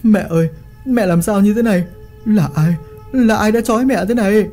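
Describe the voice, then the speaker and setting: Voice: medium pitch, animated, brisk, warm tone, soft background music, pitched above the performer's own voice, natural voice. A storyteller voicing a devoted young son who provides for his mother. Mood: distraught.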